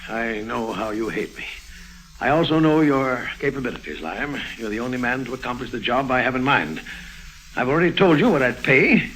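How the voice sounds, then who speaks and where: Man playing an indignant, sharp-voiced embattled politician. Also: medium pitch, lively intonation, moderate pace, natural voice. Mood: grudging pleading. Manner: stiff, exasperated, formal. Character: proud, embattled male state governor, indignant and desperate. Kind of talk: 1950s radio drama acting. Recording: noisy old 1950s radio recording.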